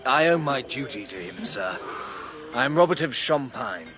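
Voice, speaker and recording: An actor playing a dutiful, respectful knight with a formal manner in a historical audio drama. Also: male voice, mid-range voice, animated, normal speed, over music, lightly acted. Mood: earnest. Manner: formal, deferential. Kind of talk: historical radio drama acting.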